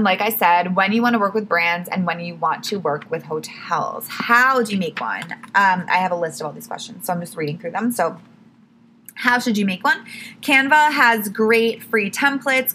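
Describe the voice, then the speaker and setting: A young woman hosts her own podcast in a loose, chatty way, full of "like" and quick self-corrections. Her voice is bright and clear, with a little hoarseness creeping in. A faint hum of the room sits underneath. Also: medium pitch, lively intonation. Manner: chatty, self-correcting, says "like" and "literally" a lot. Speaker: podcast host. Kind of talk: casual solo podcast tips.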